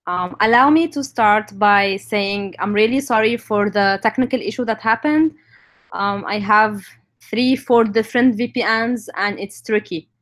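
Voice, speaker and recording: A woman's voice: mid-range voice, unhurried, a bit bright. A woman from Lebanon with a Lebanese accent, presenting an informal online session as the speaker. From North Lebanon. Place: live online stream.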